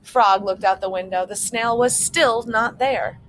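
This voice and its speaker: A woman's voice: fairly high pitch, a little slow, a bit bright, a little hiss or hum. Woman reading a children's story aloud. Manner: simple explanations, checking in with listeners. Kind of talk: read-aloud storytelling with asides to young listeners. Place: outdoors on a front porch. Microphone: computer propped on a chair.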